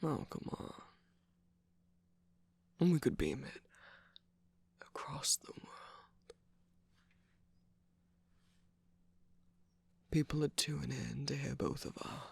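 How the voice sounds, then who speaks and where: A performer voicing a smug, seductive villainous cookie radio host in an ASMR romantic roleplay, slow, coaxing and teasing, with a breathy, gravelly voice that often drops to a whisper. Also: medium pitch, wildly swooping pitch, exaggerated voice. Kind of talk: ASMR romantic roleplay, scripted character acting. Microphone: close microphone, dry.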